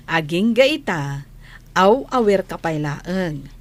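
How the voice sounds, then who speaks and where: A woman playing a caring, gently worried household nanny in a radio drama, with a gentle, doting manner. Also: fairly low pitch, very animated, drawn-out words, heavily acted. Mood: fond, doting. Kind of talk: Filipino radio drama acting.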